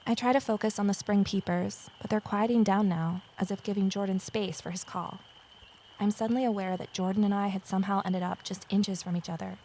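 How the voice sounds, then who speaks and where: An actress playing an earnest woman amateur investigator in an audio drama, talking in a gentle, sincere, conversational way. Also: medium pitch, steady tone, brisk, a little hiss or hum, close to an everyday voice, low-quality recording. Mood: reflective. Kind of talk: audio drama dialogue and first-person narration.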